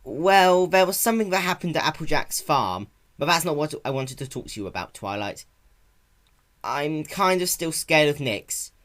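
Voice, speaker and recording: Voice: medium pitch, expressive, moderate pace, a bit bright, pitched above the performer's own voice, close to an everyday voice. A performer voicing a young boy baby dragon in a cartoon-based story reading, anxious, protective and pleading, with a stammer. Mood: upset, scared.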